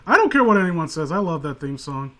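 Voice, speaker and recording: A man with a somewhat high voice talks casually as host of an online group call. He rambles through his opinions in an informal, profane way. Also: sing-song, fast talker, fairly bright tone. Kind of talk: casual chat, opinionated commentary.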